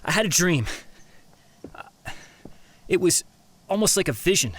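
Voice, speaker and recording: An actor playing an anxious, earnest young man in an audio drama, halting and deferential. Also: sits high, big pitch swings, a bit bright, husky, heavily acted. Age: young adult. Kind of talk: naturalistic audio drama acting.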